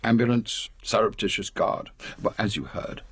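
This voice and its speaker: Gruff older british spymaster, husky low voice, clipped n bossy, radio play. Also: male voice, normal speed, no echo. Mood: brisk, commanding. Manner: clipped, commanding, formal. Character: authoritative older male British spy chief, brisk and ruthless. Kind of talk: radio drama acting.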